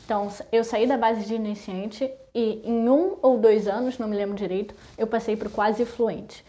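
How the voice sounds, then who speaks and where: A young woman from Brazil talking casually to her viewers, listing things in quick chatty runs. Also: fairly high pitch, steady tone, warm tone. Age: young adult. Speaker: vlogger. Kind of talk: casual talk to camera.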